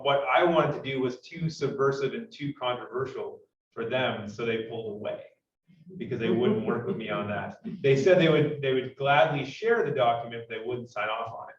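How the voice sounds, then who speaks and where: Canadian guy thinking out loud at a conference, lots of ums, distant roomy sound. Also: mid-range voice. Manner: thoughtful, many fillers and restarts. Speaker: conference participant. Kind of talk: unscripted panel comment. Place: conference room. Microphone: room microphone at a distance. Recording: roomy, distant, dull sound with faint noise.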